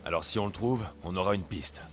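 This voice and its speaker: A man plays a bold ancient Greek mercenary in a video game. The voice is very low, with blunt, confident delivery. Soft music sits behind it. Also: a little fast, natural voice. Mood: determined. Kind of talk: dubbed video game dialogue.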